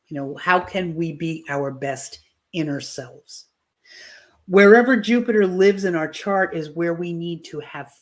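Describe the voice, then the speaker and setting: A middle-aged woman astrologer, losing her voice, explaining planetary cycles in a live online talk, often restarting her phrases. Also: sits low. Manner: explanatory, with frequent restarts and fillers. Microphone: video call.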